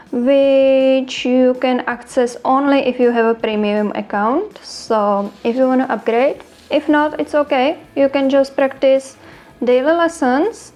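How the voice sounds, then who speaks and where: High-voiced Czech host, chatty. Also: female voice, a little mellow. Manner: chatty, self-deprecating. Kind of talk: casual app walkthrough.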